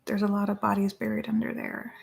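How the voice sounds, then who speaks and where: A woman's voice: medium pitch, completely flat delivery, fast talker, vocal fry. A woman co-hosting a live stream, chatting casually and rambling as she reads viewer comments. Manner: chatty and rambling, spelling words out. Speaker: co-host of a live watch party stream.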